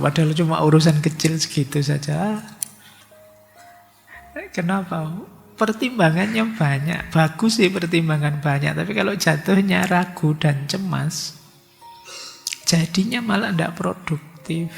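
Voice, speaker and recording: A man's voice: a little high, creaky, big-room sound. A man giving a chatty religious lecture, slipping in words and acting out people's worried thoughts.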